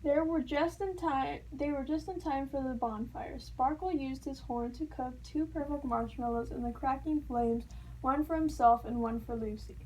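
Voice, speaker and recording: High-voiced woman reading a children's story. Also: moderate pace, slight background noise, poor audio. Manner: expressive storytelling with playful sound words. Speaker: storybook reader. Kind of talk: children's read-aloud narration.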